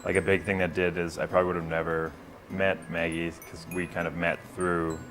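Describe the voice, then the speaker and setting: A man's voice: sits low, flat delivery, a little fast, over music, poor audio. A man in his thirties, a filmmaker interviewed on a TV show, talking hesitantly, laughing and trailing off, on a lapel mic. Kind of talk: casual interview talk. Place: TV studio set.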